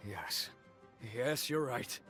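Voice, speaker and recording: A man's voice: medium pitch, animated, extremely slow, fairly bright tone. A man voicing a sheepish, nervous swindler of a merchant in an anime game, hesitant and meek. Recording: music behind the voice.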